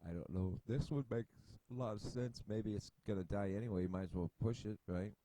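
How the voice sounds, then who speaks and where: A man talks through a chess position in a low, dark, mellow voice, musing aloud and trailing off in fillers. He sits close to a desk mic at his computer, and the sound is dry with no room around it. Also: a little fast, no room sound. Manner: rambling, thinking aloud with many fillers and restarts. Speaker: chess video host. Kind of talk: casual chess analysis commentary.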